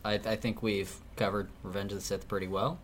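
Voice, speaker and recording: A man hosting a casual podcast, asking quizzical questions in an animated way. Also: on the low side, brisk, a little hiss or hum. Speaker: podcast host.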